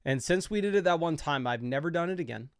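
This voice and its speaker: A man's voice: on the high side, lively intonation, fast, clear, resonant tone, no room sound, studio quality. A young man hosting a fantasy football livestream, talking loosely and casually with lots of fillers as he explains his picks.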